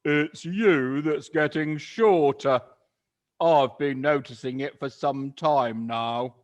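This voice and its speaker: A man voicing a cruel, gloating old husband who taunts slowly and mockingly. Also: a little high, highs cut off, pitched above the performer's own voice. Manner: slow, drawn-out and mocking.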